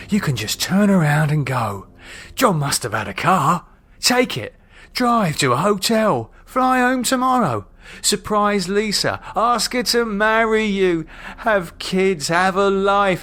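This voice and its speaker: A man's voice: high-pitched, bright, forceful tone, pitched above the performer's own voice, full character voice. A man reading an audiobook, voicing a frightened vampire hunter who urgently argues with himself. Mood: pleading, urgent. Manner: urgent, agitated muttering to himself.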